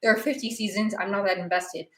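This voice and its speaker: A woman in her late twenties, hosting a podcast in a rambling, animated chat full of restarts and fillers. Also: mid-range voice, fast talker, echoey room. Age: 20s. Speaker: podcast host. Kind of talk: casual chat.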